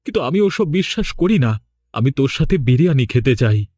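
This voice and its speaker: A man plays a village father in a horror cartoon. He is protective, suspicious and dramatically insistent, with a medium-pitched voice recorded very dry. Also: sing-song, a little fast, a little mellow, no echo.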